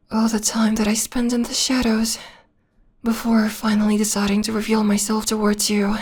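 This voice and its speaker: A possessive female vampire lover, acted by a woman for an ASMR roleplay, speaks in a breathy, whispery voice that sits fairly high. She is slow and lingering, clingy and tender, and her pitch stays very even as she dotes on her beloved.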